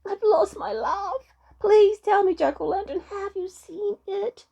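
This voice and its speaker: Woman voicing a plaintive little pixie boy, very high. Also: lively intonation, a little slow, creaky, dark tone, full character voice. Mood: plaintive, pleading. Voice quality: small, thin, high.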